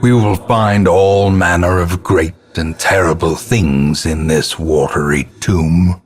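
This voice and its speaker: A man playing a grim old ancestor, narrating a gothic game in a grave, theatrical and portentous manner with a husky, gravelly voice. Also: low voice, fairly even intonation, faint music behind, exaggerated voice. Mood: grave resolve. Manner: grave, ornate, deliberate, portentous.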